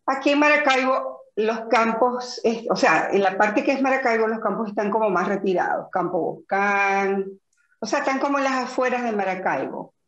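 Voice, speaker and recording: A woman's voice: a little high, normal speed. A middle-aged woman from Venezuela with a Zulia accent, thoughtfully answering interview questions with frequent fillers and restarts. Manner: reflective, many fillers and restarts. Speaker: interview guest. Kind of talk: conversational interview. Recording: echoey, dull lo-fi audio.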